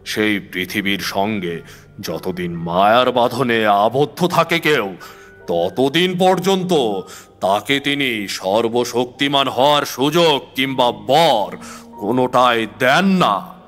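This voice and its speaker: Weary older male mystic voiced by an actor, unhurried, philosophical horror-story monologue over music. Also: medium pitch, lively intonation, fairly bright tone. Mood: resigned.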